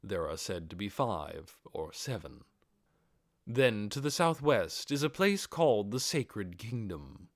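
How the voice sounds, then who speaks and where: Man voicing low, deliberate undead overlord. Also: sing-song, no echo, studio quality, heavily acted. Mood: serious, explaining. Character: commanding male undead overlord, measured and explanatory.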